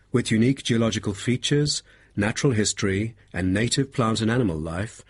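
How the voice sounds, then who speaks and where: Male park tour guide, low-pitched, husky, measured scripted delivery, informative and welcoming, educational audio acted by a man. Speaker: tour guide in a listening test. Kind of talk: scripted informational talk to visitors.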